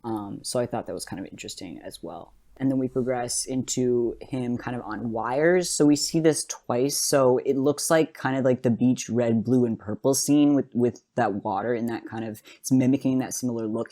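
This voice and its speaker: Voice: mid-range voice, moderate pace, hardly any pauses, mellow. A podcast host talking casually and conversationally through an analysis, rambling a little as they go. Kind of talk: casual video analysis talk.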